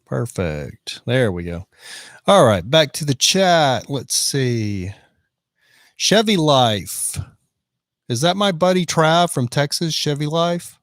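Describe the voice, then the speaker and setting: A man's voice: on the high side, sing-song, slow pace, fairly bright tone, no room sound, studio quality. A man in his fifties hosting a casual live stream, chatting and reading out viewer comments. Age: 50s. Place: van in the rain.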